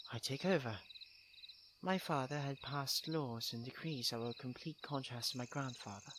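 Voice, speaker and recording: A man's voice: medium pitch, close to an everyday voice. A man playing a young tsundere prince, haughty yet tender-hearted, speaking formally and intimately to the listener in an ASMR roleplay. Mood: earnest, reflective. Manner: formal, princely, precise wording. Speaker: roleplay voice actor. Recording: clear ambient noise with chirping crickets. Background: night crickets and insects.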